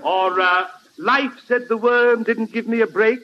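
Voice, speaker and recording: A man's voice: very high voice, steady tone, unhurried. A man playing a pompous, hammy poet, declaiming rhymes grandly in 1940s radio comedy style. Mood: grandiose. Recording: dull, hissy old radio recording.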